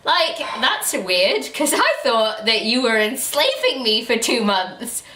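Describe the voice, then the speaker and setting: A woman chatting casually as a book review host. Her delivery is lively and incredulous, with a very mobile pitch and an informal flow. The room adds noticeable echo around her voice. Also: slow pace. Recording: roomy, echoey room sound.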